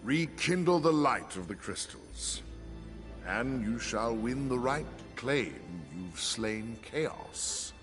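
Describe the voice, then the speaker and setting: Stately male monarch, theatrical and solemn, unhurried delivery, clear game score behind. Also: mid-range voice, expressive. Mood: solemn, persuasive. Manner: grave, measured, theatrical. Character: solemn, stately male ruler recounting prophecy. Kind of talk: video game cutscene acting, formal storytelling. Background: music.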